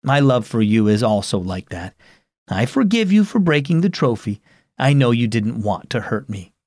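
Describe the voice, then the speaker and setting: A man's voice: mid-range voice, sing-song, normal speed, no room sound, clean studio sound, pitched below the performer's own voice. A man voicing a kind, forgiving father in a storybook reading. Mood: reassuring. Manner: soft, reassuring.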